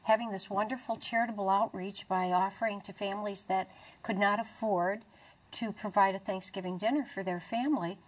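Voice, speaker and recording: A middle-aged American woman presenting a religious talk show in an earnest, deliberate way. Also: mid-range voice, recorded from a distance, poor audio. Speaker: TV show host. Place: small dark TV studio, indoors.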